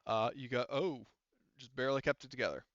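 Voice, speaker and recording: A man's voice: mid-range voice, fairly quick, a bit bright, dry recording, lo-fi sound. A man casually running a tabletop game as game master, rambling and swearing freely.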